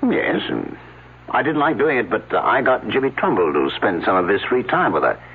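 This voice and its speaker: A man's voice: on the low side, big pitch swings, normal speed, a little hiss or hum. An actor playing a hesitant male archaeology professor who hems and haws. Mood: fussy. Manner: hesitant, full of uh's. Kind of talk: 1970s radio drama acting. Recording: tinny old radio broadcast.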